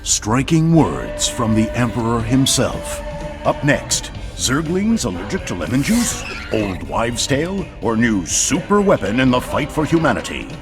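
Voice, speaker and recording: A man's voice: mid-range voice, very animated, measured pace. Pompous, swooping male news anchor. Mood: grandly bombastic. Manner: pompous, theatrical. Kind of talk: melodramatic newscast parody. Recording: loud music behind the voice.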